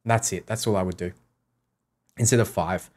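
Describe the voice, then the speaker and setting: A low-voiced man talks casually on a gaming livestream. He reads questions aloud and replies offhand, often repeating short sentences to make his point. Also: fairly even intonation, moderate pace, a little mellow. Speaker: game streamer answering chat questions.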